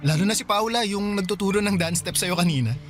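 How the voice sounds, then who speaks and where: An actor playing an eager, considerate young boyfriend, talking casually and attentively in a radio drama. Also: male voice, high voice, fast talker, fairly bright tone. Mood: attentive, reassuring. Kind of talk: Tagalog radio drama dialogue. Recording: faint music bed behind voice.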